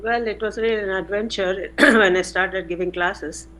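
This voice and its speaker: An older woman, a retired chemistry professor recalling her career in an interview, unhurried with frequent pauses. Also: medium pitch, fairly even intonation, fairly bright tone. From India. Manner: unhurried, many "uh" pauses, self-corrects. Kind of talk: reflective interview answers.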